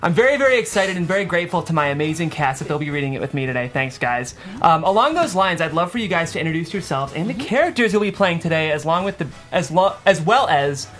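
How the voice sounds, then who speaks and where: A man's voice: fairly high pitch, sing-song, fast, few pauses, fairly bright tone. A man hosting a table read and narrating the script's stage directions.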